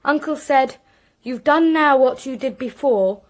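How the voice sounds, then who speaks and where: An actress playing an anxious, articulate young British woman in a radio drama, with a precise, expressive delivery. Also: high-pitched, a little slow. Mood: tense recounting. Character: anxious, articulate young niece recounting a crime.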